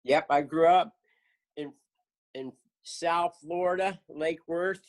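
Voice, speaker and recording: A man's voice: fairly high pitch, fairly even intonation, takes it slowly. A man in his fifties, a guest in a casual interview, telling his story in an earnest, chatty way. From southern United States. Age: 50s. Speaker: guest, charity founder.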